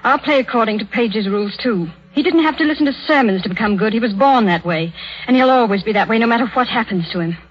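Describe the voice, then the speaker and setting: An actress playing a loyal, plain-spoken, quietly lovelorn woman in a 1930s radio drama. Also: fairly high pitch, expressive, quick pace, big-room sound. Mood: firm, fervent. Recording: muffled 1937 radio recording.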